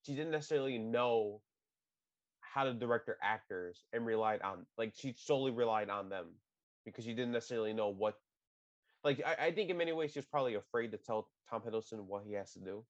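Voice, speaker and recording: A man's voice: mid-range voice, brisk, no room sound, muffled recording. A man co-hosting a casual podcast, opinionated and rambling, often restarting sentences. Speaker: podcast co-host.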